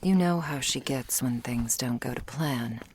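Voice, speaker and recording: Vampire bodyguard, played by a woman: deep female voice, unhurried, guarded and menacing, intimate ASMR roleplay delivery. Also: a little mellow, a little hiss or hum. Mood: wary, low-key. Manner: cool, stern, clipped, often dropping to a whisper.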